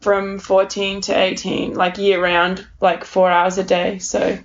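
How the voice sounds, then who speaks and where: A woman's voice: medium pitch, completely flat delivery, measured pace, slight background noise. Young female athlete guest, informal and chatty, level intonation, slightly muffled recording. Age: young adult. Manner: informal, peppered with "like" and "you know". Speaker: interview guest, world champion athlete. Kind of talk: casual chat. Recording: muffled, slightly dull sound.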